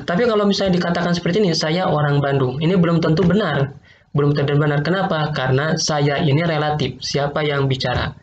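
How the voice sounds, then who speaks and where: A man's voice: fairly high pitch, highs cut off, slight background noise. A man teaching a logic lesson online, explaining patiently and repeating points with many small checks like "right?".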